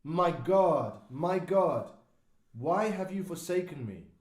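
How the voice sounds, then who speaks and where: A man voicing a dying man who cries out loudly to God in anguish, in a dramatised scripture reading. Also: a little high, lively intonation, takes it slowly, roomy sound. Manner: anguished loud crying out.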